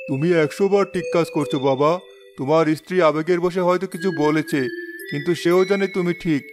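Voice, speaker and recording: Guy playing a wise old village uncle in a cartoon, measured and advising, music behind. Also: fairly high pitch, close to an everyday voice. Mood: reassuring. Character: wise, fair-minded village elder uncle, calmly advising. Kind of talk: animated moral story dialogue.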